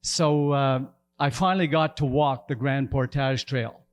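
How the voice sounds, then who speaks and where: Loud-voiced older man giving a lecture. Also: mid-range voice, a little slow, clear, resonant tone. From Lower Ottawa Valley, Canada. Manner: loud, relaxed, chatty delivery. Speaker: guest lecturer, retired teacher and principal. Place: lecture room in a museum.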